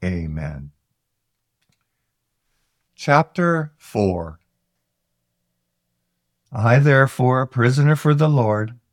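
A man reading scripture aloud in a solemn, deliberate, declamatory way with dramatic pauses. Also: mid-range voice, gravelly, dry recording. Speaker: scripture reader.

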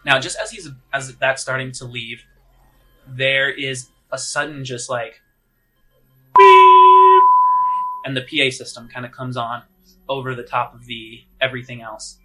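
Very bright-voiced male game master. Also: medium pitch, very animated, unhurried, long pauses, low-quality recording. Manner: conversational, descriptive. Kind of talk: improvised narration of a tabletop roleplaying game.

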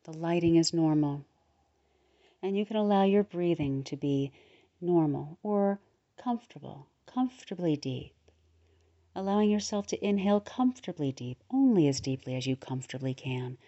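A woman leading a guided relaxation meditation in a soothing, unhurried manner, pausing between short phrases. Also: low voice, expressive, warm tone, lo-fi sound. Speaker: guided meditation leader, podcast host.

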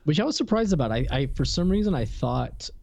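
A man's voice: on the high side, very animated, a little fast, creaky, a little mellow, dry recording. A man co-hosting a podcast, chatting casually and tentatively as he gives his opinions. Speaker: podcast co-host. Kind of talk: casual chat, giving opinions.

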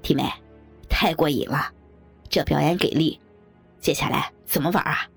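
A woman's voice: sits low, steady tone, moderate pace, soft background music, voice pushed down, heavily acted. A woman voicing crude, leering old men with coarse, jeering banter in an audiobook story. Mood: eager. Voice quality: gravelly, husky.

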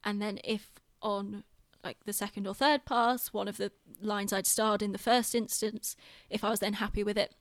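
A woman's voice: medium pitch, fairly even intonation, normal speed, few pauses, no room sound. British woman in a dry, close recording. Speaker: podcast host and theatre director. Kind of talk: casual podcast chat.

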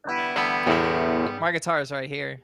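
A man's voice: mid-range voice, sing-song, slow pace, vocal fry, loud music behind the voice. A man chatting casually as co-host of a podcast. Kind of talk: casual chat.

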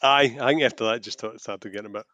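A man's voice: medium pitch, racing, pushed and bright, dry recording. A man co-hosting a film podcast, chatting casually and chipping in with easygoing banter. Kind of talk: casual chat.

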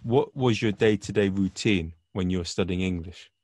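Man, podcast host, slightly low voice, dry recording, halting casual questions. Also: darkish tone, no echo. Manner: halting, rephrases his questions.

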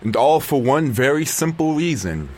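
A man's voice: a little high, very animated, moderate pace, darkish tone. A man playing a sardonic, easily irritated young exiled tribesman recording an audio journal in a drama. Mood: wry, resigned. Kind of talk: audio drama acting, first-person journal monologue.

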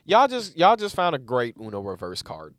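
A young man jokes through casual podcast banter, laughing a lot and jumping into loud, mocking voices. His pitch swings widely as he talks. The sound is dry and close to the mic. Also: normal speed, dry recording. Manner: animated, laughs often, jumps into loud put-on voices. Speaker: podcast co-host, comedian.

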